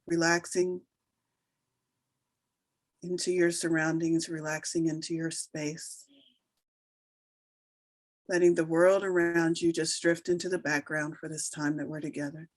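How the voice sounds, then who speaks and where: A woman with a low voice guides a meditation in a soothing, unhurried manner. She leaves long pauses between her gentle instructions. The sound is dry, with very little room around the voice. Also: no echo. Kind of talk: slow guided meditation narration.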